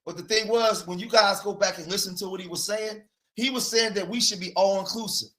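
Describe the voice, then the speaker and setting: A man's voice: high voice, fairly even intonation, brisk, a bit bright. A man creating online content, arguing his point in a preachy manner and speaking straight to his viewers. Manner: preachy, addresses viewers directly. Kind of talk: argumentative online talk.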